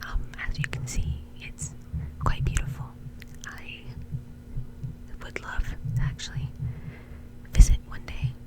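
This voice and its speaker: A woman's voice: takes it slowly, pauses often, rough recording. Whispering woman, casual ASMR storyteller. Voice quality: soft breathy whisper. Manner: casual, chatty. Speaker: ASMR video host.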